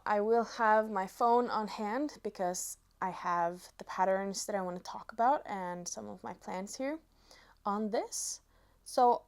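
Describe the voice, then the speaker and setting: A woman in her twenties from Norway with a Norwegian accent, chatting casually to viewers as a knitting vlogger, pausing between short phrases. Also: medium pitch. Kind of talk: casual chat to camera.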